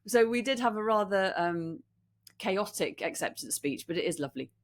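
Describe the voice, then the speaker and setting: A British woman co-hosting a podcast in casual chat, telling stories in an animated, teasing way. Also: mid-range voice, moderate pace. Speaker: podcast co-host. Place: room in central London.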